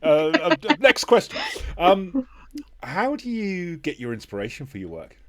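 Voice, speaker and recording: Lively-pitched man in his forties, podcast host. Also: measured pace, a crowd in the background. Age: 40s. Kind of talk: casual interview chat.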